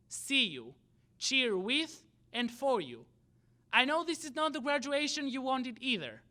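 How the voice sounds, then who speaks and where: A young man with an Albanian accent, giving a prepared graduation speech as student body president in measured, deliberate phrases. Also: very high-pitched, bright, forceful tone.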